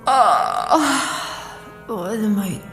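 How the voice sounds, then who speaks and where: Slow, echoing weary war survivor woman. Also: mid-range voice, sing-song, a bit bright, full character voice. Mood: irritated, weary. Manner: talks to herself, halting and dramatic. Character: wary, weary lone woman surviving a ruined war zone. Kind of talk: dramatic radio play acting, soliloquy. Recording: echoey reverberant hall, music behind.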